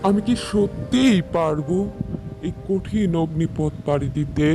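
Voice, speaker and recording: A man acts out a poor, worn-out village husband from a cartoon folk tale. His male voice is high-pitched and slow, drawing out plaintive rustic pleas. Music plays clearly behind him. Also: animated. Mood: anguished. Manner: plaintive, drawn-out, rustic speech. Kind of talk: Bangla animated folk-tale dubbing.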